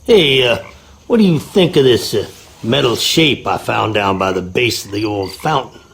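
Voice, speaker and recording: An actor playing a folksy, skeptical handyman in a video game, with a gravelly Southern US drawl. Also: lowish voice, animated, moderate pace, fairly bright tone, slight background noise. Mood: chatty, puzzled.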